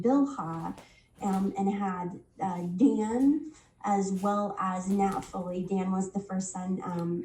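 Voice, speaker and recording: A woman getting over a head cold teaches a Bible study in a casual, halting way, with plenty of ums. Her voice is mellow and dark. She sits back from the mic, and the room rings around her. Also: mid-range voice, reverberant, recorded from a distance, rough recording. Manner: unhurried, many ums and restarts.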